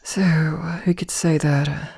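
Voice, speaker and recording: A woman playing a possessive, menacingly loving female werewolf in an ASMR roleplay, speaking softly and coldly, often slipping into a whisper. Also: deep voice, steady tone, creaky, breathy edge, dry recording, exaggerated voice. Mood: grave, confiding. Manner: cold, callous, deliberate word delivery. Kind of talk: ASMR roleplay, slow intimate delivery.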